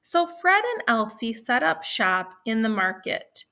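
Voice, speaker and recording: A woman teaching young children, reading a story aloud and explaining it in a deliberate, instructional way. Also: a little high, sing-song, bright, forceful tone.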